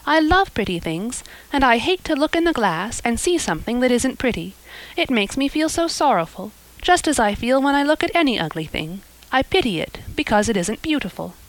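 A breathless, gushing orphan girl with a high, bright voice that leaps up and down as she talks, put on by a woman reading a story. Her pitch swings widely, and the sound is close and dry. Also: sing-song, a little fast, no echo, low-quality recording. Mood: eager, dreamy. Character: eager chattering red-haired orphan girl.

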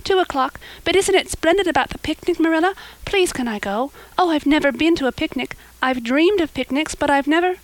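A woman voicing a breathless, excitable and dreamy young girl in a storybook, chattering and gushing dramatically. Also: high-pitched, brisk, few pauses, no room sound, voice pushed up, rough recording. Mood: eager, pleading. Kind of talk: audiobook character acting.

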